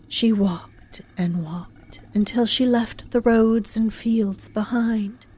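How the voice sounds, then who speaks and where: A woman narrating a horror audio drama in a solemn, hushed, deliberate and dramatic storytelling manner. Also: a little high, slightly rough, exaggerated voice.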